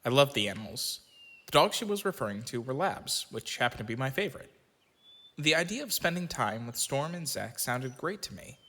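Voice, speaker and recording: A man's voice: medium pitch, very animated, brisk, bright, forceful tone, heavily acted. A man acting in an audio drama as a reflective storyteller and his shy, self-conscious younger self. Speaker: narrator and lead actor telling his own story. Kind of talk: audio drama narration and acted dialogue.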